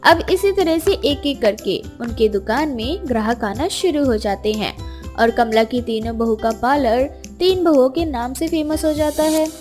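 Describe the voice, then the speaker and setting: A woman's voice: high voice, normal speed, darkish tone, soft background music. A woman storyteller reading scripted narration of a moral tale.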